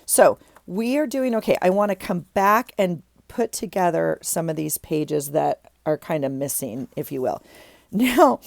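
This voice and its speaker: A woman's voice: low voice, gravelly, darkish tone, no echo, clean studio sound. A middle-aged woman with a stuffy nose, chatting casually as she works on a craft project, thinking aloud with many ums and restarts. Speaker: craft vlogger.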